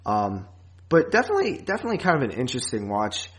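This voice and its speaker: A man's voice: mid-range voice, huge pitch swings, fast talker. A man hosting a podcast, chatting casually about films and often restarting his thoughts. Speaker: podcast host. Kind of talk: casual film review chat.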